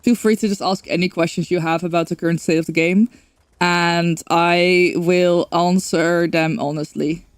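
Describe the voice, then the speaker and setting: A woman's voice: lowish voice, steady tone, moderate pace, no echo. A woman from the Netherlands with a Dutch accent, chatting casually while streaming a game, rambling with lots of fillers. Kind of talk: casual gameplay stream chat.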